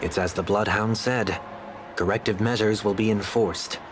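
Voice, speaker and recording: An actor playing a composed, principled young man in a video game, speaking in a measured, formal way. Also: on the low side, fairly even intonation, background noise, lightly acted. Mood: earnest. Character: composed, formal young male former park manager, principled and reflective. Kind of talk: scripted video game voice acting. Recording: music behind the voice.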